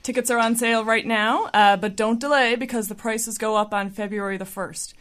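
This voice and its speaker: A woman's voice: fairly high pitch, fairly even intonation, brisk, a bit bright. A woman on a radio chat show, promoting an annual charity lunch she organizes, talking in a friendly way and laughing easily. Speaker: event organizer guest.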